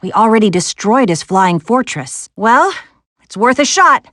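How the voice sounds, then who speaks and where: A woman voicing a confident, spirited young adventurer in a video game, briskly giving missions with an earnest, lightly wry manner. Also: fairly high pitch, big pitch swings, a bit bright, dry recording, studio quality. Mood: focused, determined. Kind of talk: video game character voice acting.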